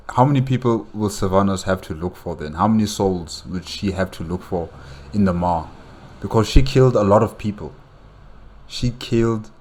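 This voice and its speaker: A man giving casual, off-the-cuff reaction commentary in a hesitant, musing way, often repeating his phrases. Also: low voice. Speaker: reaction video host.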